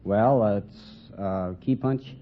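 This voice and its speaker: A man's voice: fairly low pitch, slow. A polite, hesitant American man from Iowa answering questions as a radio quiz contestant. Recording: muffled old radio, loud hiss, audience.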